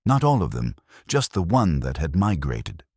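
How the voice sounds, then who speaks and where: A man with an extremely deep voice narrates a first-person detective story. His delivery is measured and wry, with a lot of movement in the intonation. The recording is dry, with hardly any room sound. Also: wildly swooping pitch, darkish tone, slightly rough, no room sound. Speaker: audiobook narrator.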